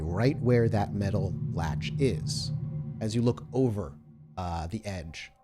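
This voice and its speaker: A man narrating a tabletop horror role-playing game as game master, setting scenes in descriptive, deliberate detail. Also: medium pitch, mellow. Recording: music playing under the voice. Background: music.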